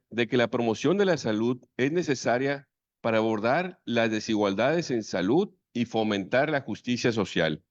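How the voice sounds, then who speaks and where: A man moderating a session, reading out a speaker's biography in a formal, measured way. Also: mid-range voice, no echo. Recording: dull dry sound.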